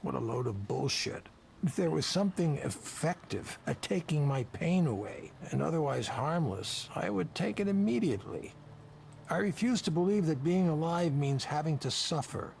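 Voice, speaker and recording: An actor playing a jaded, whiskey-drinking tech visionary in a visual novel, speechifying persuasively in a ragged, creaky voice. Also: male voice, fairly high pitch, lively intonation, normal speed, a little mellow, a little hiss or hum. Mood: defiant. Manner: speechifying, persuasive, world-weary. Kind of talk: dramatic visual novel voice acting.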